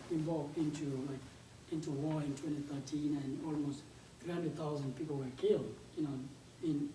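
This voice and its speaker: A man in his twenties with a South Sudanese accent gives an informal talk in a halting, conversational way, often repeating words. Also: on the high side, mellow. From South Sudanese, grew up in a refugee camp in Uganda. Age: 20s. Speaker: game developer and startup founder, guest speaker. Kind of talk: informal conference presentation. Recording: echoey room, distant mic, background noise.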